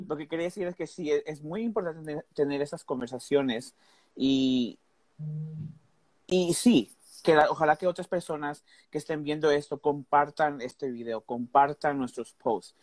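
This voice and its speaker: Hombre titubeante, mezcla inglés. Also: male voice, on the high side, unhurried, a little mellow, no echo. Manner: hesitant, searching for words, mixes in English. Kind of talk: casual panel conversation.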